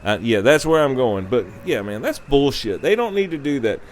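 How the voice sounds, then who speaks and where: A man's voice: mid-range voice, very animated, normal speed, a little hiss or hum. A man in his thirties hosting a show, giving a blunt, profane, casual rant. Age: 30s. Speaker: podcast host. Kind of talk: casual opinionated gaming rant.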